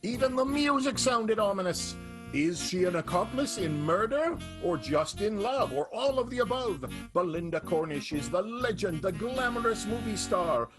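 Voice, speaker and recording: A man's voice: high-pitched, expressive, moderate pace, over music. A man narrating as the playful, theatrical announcer of an improvised old-time radio soap opera. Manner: melodramatic, playful, tongue-in-cheek.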